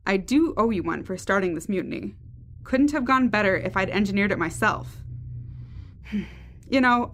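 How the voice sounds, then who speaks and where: A woman's voice: mid-range voice, a bit bright, lightly acted. Seeking an actress for a sly, impatient woman schemer, audio drama; sardonic commands with noise behind. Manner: sardonic, bossy. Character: cocky, impatient, sardonic female mutineer and schemer.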